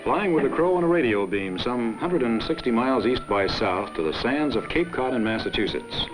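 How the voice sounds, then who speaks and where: A man reading scripted 1950s promotional film narration in a measured, formal announcer style on a vintage soundtrack. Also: medium pitch, lively intonation, low-quality recording. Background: background music.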